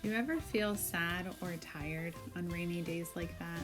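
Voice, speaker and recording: A woman's voice: lowish voice, normal speed. A woman art teacher narrating a children's craft tutorial, explaining simply and step by step. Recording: music behind the voice. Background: background music.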